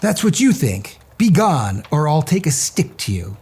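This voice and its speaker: A man's voice: fairly high pitch, big pitch swings, unhurried, a little mellow, breathy edge, voice pushed up, exaggerated voice. A man voicing a brave, clever storybook hero who defies a goblin with taunting, unafraid nonchalance. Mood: defiant.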